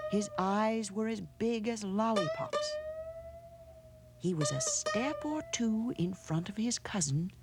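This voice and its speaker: A woman narrating a children's storybook aloud in a measured, dramatic way, with hushed pauses. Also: lowish voice. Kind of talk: expressive storybook read-aloud.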